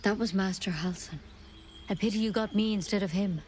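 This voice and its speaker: A woman's voice: medium pitch, a little fast, a little mellow, slight background noise, close to an everyday voice. A woman playing a stern, worried healer in a video game, speaking in a grave and insistent manner. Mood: matter-of-fact. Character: stern, worried female healer druid's apprentice. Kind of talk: video game dialogue acting.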